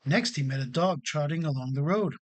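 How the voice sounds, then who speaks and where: A man's voice: fairly high pitch, fairly quick. A man narrating a children's storybook as a read-along storyteller.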